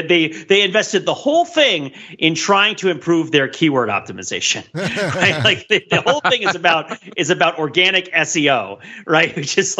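A man with a husky voice giving rambling conversational commentary as a podcast host, often checking in with "right?". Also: fairly high pitch, pushed and bright. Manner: rambling, tags sentences with "right?". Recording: dull, muffled lo-fi sound.

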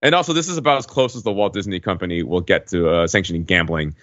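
A man on a podcast casually recounting an experience in a wordy, halting way, often correcting himself. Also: low voice, a bit bright. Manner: wordy, halting delivery with frequent hedging and self-corrections. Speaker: podcast regular recounting a trip. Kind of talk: casual chat, rambling storytelling. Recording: dull lo-fi, roomy echo.

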